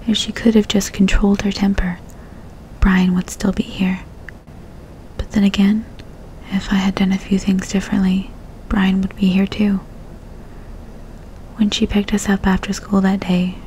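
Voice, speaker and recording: A woman narrating a dark story in a soft, steady whisper. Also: medium pitch, a little hiss or hum, low-quality recording. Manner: soft unvoiced whisper throughout.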